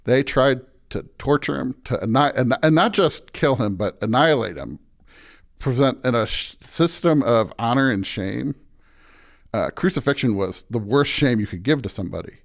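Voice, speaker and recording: A man's voice: medium pitch, lively intonation, moderate pace, gravelly. A man speaking as a pastor and podcast guest, conversational but preaching, emphatic and often checking in with "right?".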